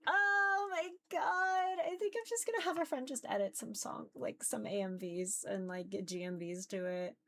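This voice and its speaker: A woman's voice: fairly high pitch, normal speed, hardly any pauses, fairly bright tone. A woman chatting casually to viewers as a livestreamer, informal and rambling, with a giggly manner. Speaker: visual novel streamer.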